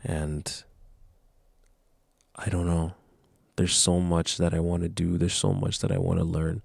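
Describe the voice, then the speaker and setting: Deep gravelly young man talking to camera. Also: little pitch movement, a little slow, no echo, studio quality. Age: young adult. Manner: earnest, motivational, rambling with restarts. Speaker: vlogger. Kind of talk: casual talk to camera.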